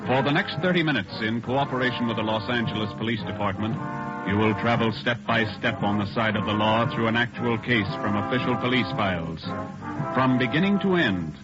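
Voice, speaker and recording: A man's voice: fairly low pitch, lively intonation, brisk. Formal old radio show announcer, man. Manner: formal, declamatory. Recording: muffled old radio broadcast over music.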